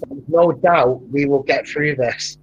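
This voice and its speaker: A man's voice: a little slow, muffled recording, slight background noise. A man from Manchester with a broad Mancunian accent, chatting casually and animatedly, often laughing and telling stories. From England (Manchester). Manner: animated storyteller, laughs often, rising pitch when excited. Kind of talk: casual chat.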